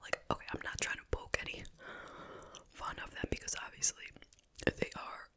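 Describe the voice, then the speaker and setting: An ASMR host speaking in a breathy whisper, telling casual, gossipy stories with pauses in a chit chat style. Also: bright, forceful tone.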